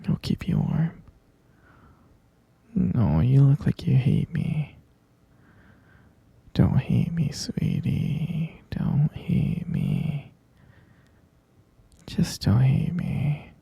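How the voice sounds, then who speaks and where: A man acts out a possessive, obsessive boyfriend in an intimate audio roleplay. His voice is dark and very breathy, often sinking into a gravelly whisper as he slowly coaxes and pleads with sweet endearments. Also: mid-range voice, expressive, no room sound, full character voice. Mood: coaxing, then pleading, possessive. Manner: slow, coaxing, repetitive, pleading endearments. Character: obsessive, coaxing yandere boyfriend holding his lover captive.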